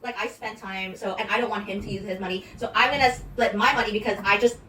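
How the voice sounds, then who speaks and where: A woman from Hong Kong, co-hosting a podcast, expressive in casual chat. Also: mid-range voice, fast. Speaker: podcast co-host. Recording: roomy, distant mic, faint noise.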